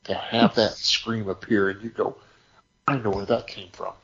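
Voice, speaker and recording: A man telling anecdotes in casual podcast chat, speaking in short phrases broken by pauses. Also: on the low side, little pitch movement, fairly bright tone, dull audio. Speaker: podcast co-host.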